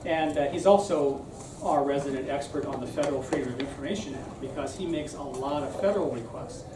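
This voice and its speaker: A man's voice: medium pitch, brisk. A man giving an informal public talk in a conversational, explanatory way, often checking in with "right?". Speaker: speaker giving a civic talk. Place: room at a live meeting. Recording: roomy, distant mic, clear background noise.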